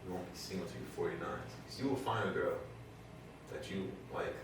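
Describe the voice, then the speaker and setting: A man's voice: low voice, brisk, background noise. A man speaking earnestly in a casual group chat, often restarting sentences as he explains. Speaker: guest. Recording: echoey room, mic far off.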